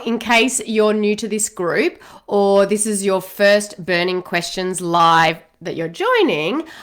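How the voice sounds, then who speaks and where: An Australian woman in her thirties talks straight to a webcam from a small home office. She is chatty and upbeat, dropping in fillers as she goes, and runs through long lists at a brisk, friendly clip. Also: medium pitch, fairly bright tone. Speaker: host of a weekly live Q&A for parents. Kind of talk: casual livestream advice talk. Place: home office room, indoors.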